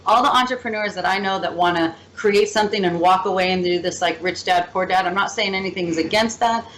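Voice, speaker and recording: A woman's voice: medium pitch, quick pace, a bit bright, slight background noise, rough recording. A woman being interviewed as a guest, talking in an upbeat, talkative way and telling stories. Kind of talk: casual interview chat.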